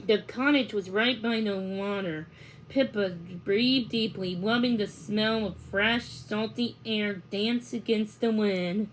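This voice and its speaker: A woman narrating a children's book aloud, reading haltingly with stumbles and repeated words. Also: mid-range voice, a little hiss or hum, poor audio.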